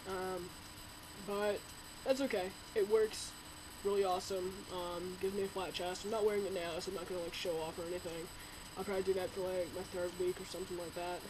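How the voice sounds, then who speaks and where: A man's voice: high-pitched, noisy background. A young man talking casually to his webcam in a home video diary, rambling with lots of fillers. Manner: rambling, chatty, full of "um" and "like". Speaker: vlogger.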